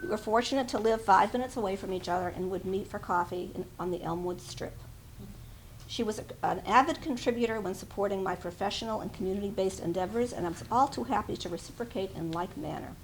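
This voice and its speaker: A woman's voice: medium pitch, quick pace, low-quality recording. Woman reading a memorial tribute, faint background noise. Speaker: speaker giving a memorial tribute. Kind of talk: reading a tribute aloud with personal asides at a memorial.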